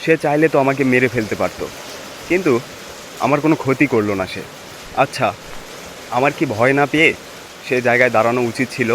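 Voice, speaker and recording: A man's voice: medium pitch, fast, long pauses, a bit bright, lightly acted. A man playing a village husband in a cartoon ghost story, talking in a dramatic storytelling way, with rain noise behind him. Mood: brooding, uneasy. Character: frightened, brooding village husband in a ghost tale. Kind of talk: Bengali cartoon story acting. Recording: noisy, rain and ambience behind voice.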